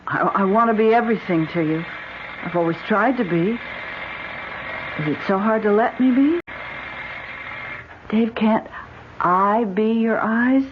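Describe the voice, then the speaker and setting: An actress playing a devoted, anxious wife in old-time radio drama, with a gentle, coaxing and pleading manner. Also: female voice, medium pitch, a little slow, pauses often, lightly acted. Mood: tender, pleading. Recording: noisy, muffled old radio broadcast.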